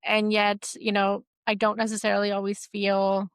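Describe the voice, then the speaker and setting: A woman host born in Iran and raised in Canada, speaking in a measured, hesitant way as she thinks aloud. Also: medium pitch, monotone, smooth, no echo, studio quality. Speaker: podcast host. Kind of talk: reflective conversation.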